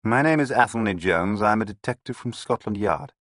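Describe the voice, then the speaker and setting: A man's voice: on the low side, lively intonation, brisk, dry recording, clean studio sound. A man voicing a stern, authoritative British police detective in an audiobook. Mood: commanding. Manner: forceful, authoritative.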